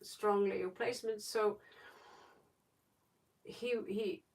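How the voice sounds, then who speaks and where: A woman talks slowly through a casual tarot livestream, with plenty of fry in her voice. She rambles and thinks aloud as she reads. The microphone sounds some way off from her. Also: medium pitch, fairly even intonation. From Norway. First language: Norwegian. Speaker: tarot reader, livestream host. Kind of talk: casual live tarot reading, chatting. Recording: distant microphone.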